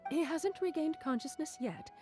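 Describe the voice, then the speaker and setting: A woman's voice: high-pitched, moderate pace, a little mellow, close to an everyday voice. A woman playing a polite, dutiful records clerk in a video game, courteous and businesslike. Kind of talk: scripted video game voice acting. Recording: background music under the voice.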